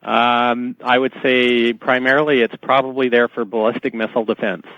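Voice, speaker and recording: A man calling in to a radio discussion, explaining things in a hesitant, halting way over dull, muffled audio. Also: medium pitch, level tone, no echo. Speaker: guest caller.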